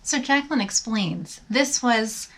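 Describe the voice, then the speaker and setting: A woman's voice: a little high, animated, slow, a bit bright. A woman hosting a beauty channel, chatting casually to viewers in a rambling way. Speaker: beauty vlogger host.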